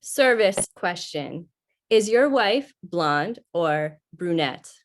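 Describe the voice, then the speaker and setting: A woman playing a calm, helpful but unfeeling computer in a measured announcer style for 1950s radio sci-fi. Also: on the low side. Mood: helpful, impassive. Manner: measured, impassive announcer delivery.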